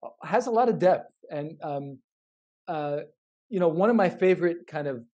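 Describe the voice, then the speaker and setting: A man co-hosting a casual podcast, speaking earnestly and haltingly, often repeating words as he thinks. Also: on the high side, animated, warm tone. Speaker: podcast co-host.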